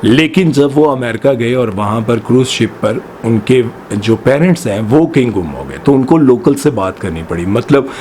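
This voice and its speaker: A man's voice: medium pitch, lively intonation, moderate pace, few pauses, darkish tone, a little hiss or hum. A man from Pakistan, giving opinionated news commentary and firing off rhetorical questions with passion. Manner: impassioned, full of rhetorical questions.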